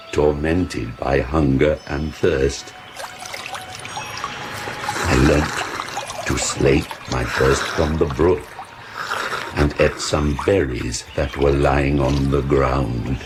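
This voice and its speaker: Super deep sad monster, radio drama, slow old-fashioned formal talk, gravelly, music behind. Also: male voice, heavily acted. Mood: brooding, sorrowful. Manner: slow, halting, formal archaic speech.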